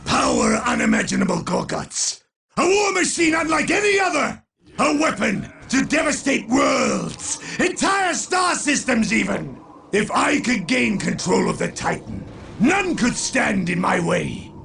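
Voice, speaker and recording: An actor playing a bloodthirsty, arrogant warlord in a video game, with a bombastic, menacing delivery. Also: male voice, very high-pitched, wildly swooping pitch, unhurried, creaky, pushed and bright, a little hiss or hum, exaggerated voice. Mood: scheming, grandiose. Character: bloodthirsty, arrogant male Chaos warlord, warrior fanatic. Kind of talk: theatrical video game voice acting.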